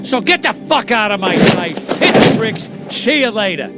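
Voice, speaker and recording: Pugnacious game pawnbroker, high pitch, lively intonation, taunting, sarcastic, foul-mouthed, music behind. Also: male voice, moderate pace. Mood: irritated. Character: cocky, sneering, foul-mouthed bald male pawnbroker, pugnacious. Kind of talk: heated game voice acting. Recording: music under the voice.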